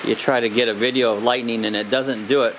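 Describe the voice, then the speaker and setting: An older man chatting casually while he films outdoors at a flying field, relaxed and rambling with lots of "uh" pauses, picked up by the camera mic. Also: mid-range voice, noisy background. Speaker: vlogger filming at a model airfield. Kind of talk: casual narration while filming.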